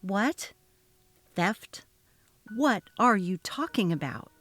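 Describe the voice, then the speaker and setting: A woman's voice: mid-range voice, big pitch swings, slow, fairly bright tone, no room sound, soft background music, exaggerated voice. A woman voicing a polite, guarded female office worker in a dramatically read story, restrained and plain-spoken. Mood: startled. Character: polite, guarded female office employee under a bullying boss. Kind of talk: dramatic reading of a text-message story.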